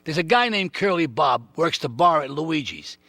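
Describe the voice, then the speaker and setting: A man playing an older mob boss in a game cutscene, gruff and commanding. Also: on the high side, animated, normal speed, bright, forceful tone, no echo, exaggerated voice. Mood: grave. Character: older male mob boss, bossy and crude. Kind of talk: video game cutscene acting.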